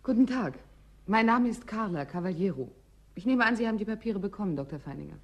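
An actress playing a determined researcher in a radio play, formal and insistent. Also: female voice, medium pitch, animated, quick pace. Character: determined, formal female researcher, stands her ground. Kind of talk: German radio play acting.

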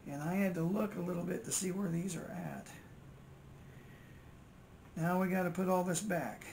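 Older American man, gravelly and creaky. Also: high-pitched, moderate pace. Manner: folksy, thinking aloud. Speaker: electronics repair hobbyist and video host. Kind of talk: casual muttered narration while working at repairs. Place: indoors at a repair workbench. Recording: steady noise, home-made workbench recording.

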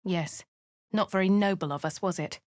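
A woman's voice: medium pitch, normal speed, darkish tone, no room sound, studio quality, lightly acted. An actress with a posh English accent voicing a wry female fantasy adventurer in a video game, narrating and speaking in character. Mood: measured, wry.

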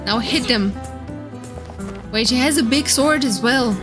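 A young woman streamer giving casual, excitable, gushing live reaction commentary. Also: a little high, unhurried. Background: game trailer music.

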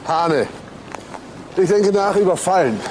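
An actor playing a brisk police detective who asks clipped, probing questions in a crime radio drama. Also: male voice, high-pitched, expressive, darkish tone, echoey room, a little hiss or hum, poor audio.

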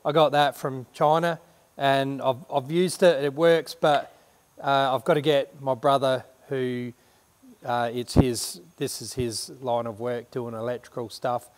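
A man's voice: medium pitch, steady tone, unhurried, long reverb tail. A middle-aged man, chatting casually to camera in a big workshop, rambling and correcting himself as he explains. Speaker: renovation vlogger. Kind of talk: casual show-and-tell to camera. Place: large workshop shed indoors.

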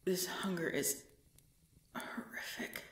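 A woman playing a tormented, devoted pregnant vampire in an intimate ASMR roleplay, halting and breathy, often dropping into a whisper. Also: close to an everyday voice. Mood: anguished, restrained. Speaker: voice actor in audio roleplay.

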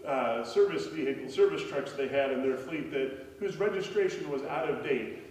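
A pastor in his forties preaches from the pulpit in a relaxed, storytelling way. His voice sits a little high for a man. He is heard from a distance, with a long echo around the church. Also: normal speed. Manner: conversational storytelling with gestures, frequent fillers. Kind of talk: sermon. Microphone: pulpit microphone, filmed by a far camera. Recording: echoey church hall, voice from a distance.

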